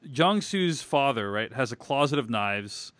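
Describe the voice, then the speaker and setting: A man's voice: mid-range voice, animated, a little slow, fairly bright tone, no room sound. A man hosting a podcast, talking through a film in a rambling way with many restarts and fillers. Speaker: podcast host. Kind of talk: casual film discussion.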